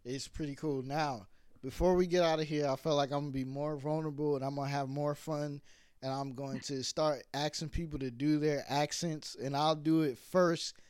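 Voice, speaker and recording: A man hosting a podcast in a relaxed, chatty way and laughing easily with his guest. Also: on the high side, moderate pace, smooth. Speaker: podcast host. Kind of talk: casual interview chat.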